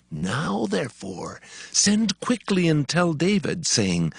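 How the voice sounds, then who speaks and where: An actor playing a shrewd, persuasive counsellor in an ancient royal court, in a dramatized scripture recording with deliberate, emphatic oratory. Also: male voice, mid-range voice, vocal fry, dry recording, studio quality, heavily acted. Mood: urgent.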